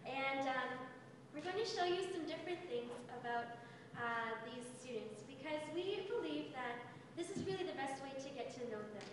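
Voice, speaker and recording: A woman's voice: high voice, animated, moderate pace, noisy background. A woman teacher presenting to an audience, speaking directly and engagingly to the room. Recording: echoey hall, far from mic.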